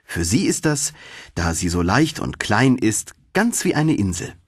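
A man's voice: on the low side, very animated, a little slow, breathy edge, dry recording, studio quality, full character voice. A man voicing an ugly, bossy old mother toad in a storybook, with a scheming, commanding delivery. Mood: scheming, hushed urging.

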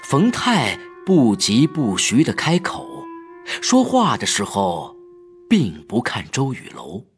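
A man's voice: medium pitch, wildly swooping pitch, slow, soft background music. A man narrating a scripted horror story as a storyteller.

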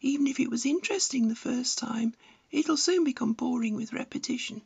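A woman's voice: high voice, a little fast, gravelly, a little mellow, husky. A woman reading scripted instructional narration in a blunt, didactic manner. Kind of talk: scripted narration, tutorial with opinionated advice.

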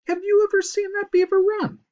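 Man putting on a squeaky, jumpy little chipmunk. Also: lively intonation, moderate pace, warm tone, lo-fi sound. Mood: indignant. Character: small buck-toothed chipmunk, nervous and proud. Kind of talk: animal character in storytelling.

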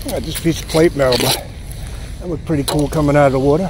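A man's voice: a little high, fast. Chatty New man magnet fishing outdoors. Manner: chatty, exclaiming at each find, talking to viewers. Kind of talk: casual running commentary while magnet fishing. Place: outdoors at a city pond edge. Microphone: chest-mounted action camera. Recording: outdoor camera with loud wind and water noise.

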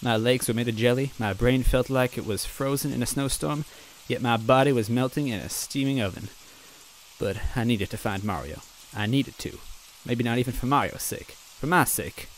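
A man casually reading a fan story aloud, rambling between passages and clearing his throat. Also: mid-range voice, normal speed, slight background noise, rough recording. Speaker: reader and narrator.

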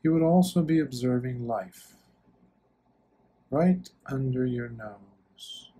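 A man leading a guided meditation as a monk, speaking gently and soothingly in short phrases with long pauses. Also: medium pitch, animated, husky, low-quality recording.

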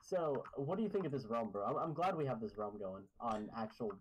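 A young man teasing his friend through a gaming session, his voice swinging widely in pitch. He jokes and gets excited easily. Faint keyboard clicking and typing sit under the voice-chat audio. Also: a little fast, a little hiss or hum, low-quality recording. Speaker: Minecraft player and channel host.

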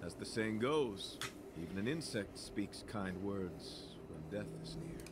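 An earnest, courtly knight from a video game. His speech is formal, solemn and poetic, swinging widely in pitch, over noisy ambience. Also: animated, unhurried.

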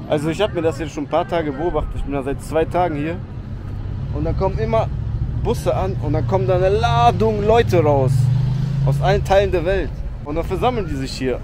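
A young man with a slightly raised voice vlogs outdoors, holding the camera at arm's length. He chats to his viewers with excitable slang. Wind and passing traffic come through clearly behind him. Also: on the high side. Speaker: travel vlogger. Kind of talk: casual travel vlog. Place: outdoors by a beach and memorial. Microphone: handheld camera at arm's length.

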